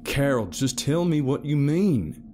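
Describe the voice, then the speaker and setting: A man's voice: mid-range voice, lively intonation, measured pace, warm tone, faint music behind, voice pushed up, natural voice. A man voicing a stern middle-aged father who barks orders. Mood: commanding. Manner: barking and commanding.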